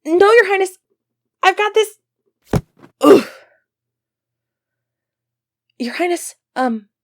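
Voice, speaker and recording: A woman's voice: high-pitched, no echo, studio quality. A woman playing an earnest, easily flustered medieval knight devoted to her prince, speaking politely and formally in an ASMR roleplay. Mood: flustered, alarmed. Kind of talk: ASMR roleplay acting, formal old-fashioned speech.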